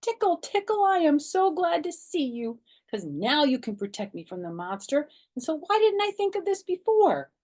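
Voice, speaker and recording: A woman plays an excitable little schoolgirl from a children's storybook. The delivery is anxious and emphatic, lifted high and pushed louder, with dramatic childlike phrasing. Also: normal speed, few pauses. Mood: excited, relieved. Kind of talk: animated children's storybook reading.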